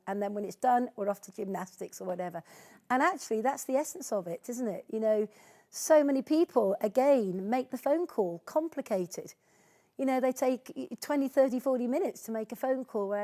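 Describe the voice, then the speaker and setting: A woman's voice: on the high side, darkish tone. A woman hosting an online sales training, speaking in an encouraging, conversational and informal way. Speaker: host and trainer of sales team meeting. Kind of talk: informal training presentation over video call.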